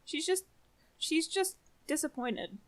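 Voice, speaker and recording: A woman's voice: sits high, animated, takes it slowly. Casual, giggly woman co-hosting a podcast. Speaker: podcast co-host. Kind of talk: casual chat.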